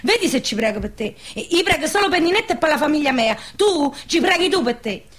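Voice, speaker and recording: An eager, high-voiced young village girl. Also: lively intonation, fast talker. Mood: mock indignant. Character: naive, teasing, nosy young village woman eager to marry. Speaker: actress. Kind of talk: radio drama dialogue in dialect.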